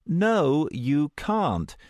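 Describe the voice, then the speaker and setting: A man's voice: fairly high pitch, very animated, very slow, darkish tone, dry recording, clean studio sound, voice pushed up, exaggerated voice. A man playing an exasperated, protective man in an audiobook story, insistent and reasoning with a snarky streak. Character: exasperated, protective man who can see the future.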